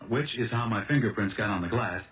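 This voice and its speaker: A man acting in a 1970s radio drama as an impulsive, fast-talking American car salesman, earnest and halting as he protests his innocence. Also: fairly low pitch, animated, close to an everyday voice. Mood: regretful, pleading. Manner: halting, earnest, restarts phrases. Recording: dull lo-fi old radio broadcast.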